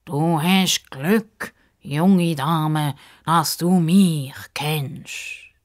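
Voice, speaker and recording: A woman voicing a pompous, grumbly male crocodile who talks in a ponderous, drawn-out way in a children's story. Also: low-pitched. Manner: ponderous, drawn-out, self-important speech.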